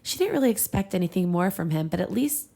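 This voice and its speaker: A woman podcast host reading fiction aloud in a casual, comedic and expressive way, with playful asides. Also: medium pitch, quick pace, vocal fry.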